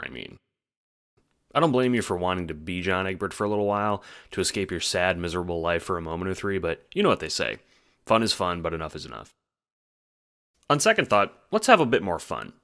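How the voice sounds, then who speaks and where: Deep-voiced man narrating with a bright edge. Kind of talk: dramatic scripted audiobook narration.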